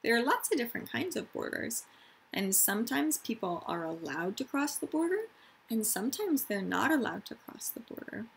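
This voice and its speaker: A woman's voice: on the high side, very animated, moderate pace, creaky, a little hiss or hum. A woman, a teacher of young children reading aloud and explaining things simply, stressing key words. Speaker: elementary school teacher.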